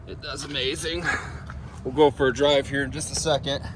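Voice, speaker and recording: A man giving a casual walkaround sales pitch as a truck seller, plain-spoken and in short clipped phrases. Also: little pitch movement, moderate pace, background noise. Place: outdoors around a truck and inside it while driving.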